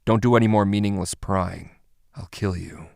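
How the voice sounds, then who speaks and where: A man playing a guarded, sullen young kidnapper in an anime dub, speaking in a flat, blunt, weary way. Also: deep voice, normal speed, gravelly, no room sound, clean studio sound. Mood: sullen, irritated. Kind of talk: anime fan dub acting.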